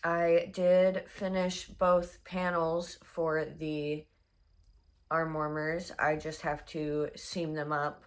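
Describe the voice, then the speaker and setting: A young woman chatting casually in a crochet vlog, her voice hoarse and congested, rambling with many fillers. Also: sits low, little pitch movement, slow pace. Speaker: crochet vlogger.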